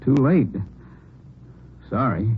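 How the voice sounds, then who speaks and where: A man's voice: mid-range voice, animated, very slow, husky, exaggerated voice. A man playing a chatty, rueful used car dealer in an old radio drama. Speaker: actor playing a witness. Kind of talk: 1950s radio drama acting. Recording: muffled, noisy old radio recording.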